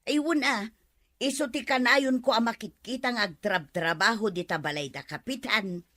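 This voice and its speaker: Woman acting a grumbling village wife, raised pitch. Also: normal speed, fairly bright tone, no room sound. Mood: gossipy complaint. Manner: grumbling, gossipy. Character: complaining, long-suffering village wife running errands. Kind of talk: comedy radio drama acting.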